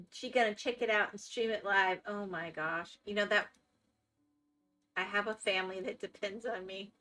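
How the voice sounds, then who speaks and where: Female streamer with a Florida accent, talkative, bright voice. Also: mid-range voice, fairly even intonation, normal speed, faint music behind. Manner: chatty, reacting to viewer chat. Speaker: live streamer. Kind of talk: casual chat while gaming.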